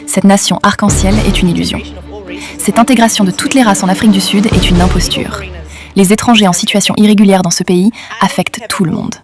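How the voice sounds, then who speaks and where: A woman from South Africa speaking in a measured, critical way. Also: mid-range voice, fairly even intonation, music in the background.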